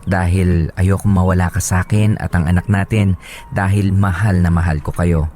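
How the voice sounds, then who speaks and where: A man's voice: deep voice, fairly even intonation, moderate pace, dark tone, slight background noise, lightly acted. A man playing a remorseful former womanizer, narrating his confession letter earnestly in a radio drama. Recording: music behind the voice.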